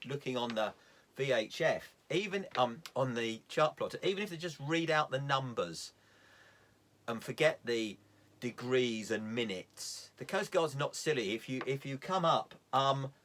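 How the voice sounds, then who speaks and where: A man's voice: medium pitch, expressive, a little slow, a bit bright. Middle aged guy teaching safety stuff, informal, plain spoken, leans on key points, explains step by step. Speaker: sailing safety instructor. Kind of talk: informal instructional talk.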